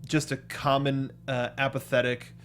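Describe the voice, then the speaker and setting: A man's voice: medium pitch, flat delivery, moderate pace, a bit bright. A man co-hosting a casual film review chat, acting out reactions in quoted asides. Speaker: co-host of a film review show.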